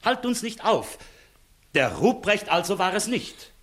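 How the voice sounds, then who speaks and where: An actor playing an authoritative, impatient court inspector in a German radio play, formal and commanding. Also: male voice, sits high, sing-song, measured pace, fairly bright tone, heavily acted. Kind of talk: 1960s German-language radio acting.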